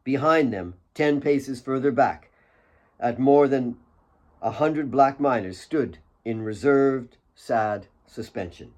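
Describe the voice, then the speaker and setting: A man reading his own novel as a dramatic audiobook narrator, in a measured way with pauses between phrases. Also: mid-range voice, darkish tone.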